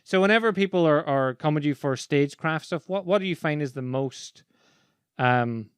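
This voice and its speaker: Irish man with a smooth, dry voice. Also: on the high side, moderate pace, no room sound. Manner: animated storyteller, laughs while talking. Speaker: podcast host and speaking coach. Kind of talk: casual conversation.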